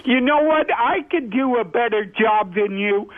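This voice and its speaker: A man's voice: very high-pitched, measured pace. A man in heated, mocking radio talk. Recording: tinny band-limited radio sound.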